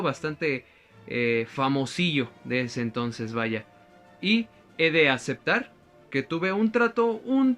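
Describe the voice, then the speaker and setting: A man's voice: mid-range voice, fairly bright tone, lightly acted. A young man playing a French philosopher in a school presentation, chatty and joking, often correcting himself mid-sentence. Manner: rambling, self-correcting, joking asides. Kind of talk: costumed school presentation as a historical figure.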